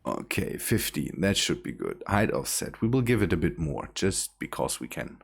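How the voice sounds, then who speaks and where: A man's voice: low-pitched, big pitch swings, normal speed, gravelly, husky. A man hosting a casual game stream, laughing often and restarting his sentences. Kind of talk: casual gaming chat.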